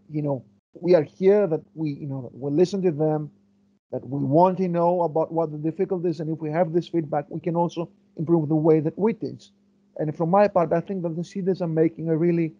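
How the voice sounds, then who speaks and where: A man's voice: on the high side, mellow, no room sound, lo-fi sound. A man from Greece, a historian answering interview questions thoughtfully, with many fillers and restarts as he searches for words. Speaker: guest historian.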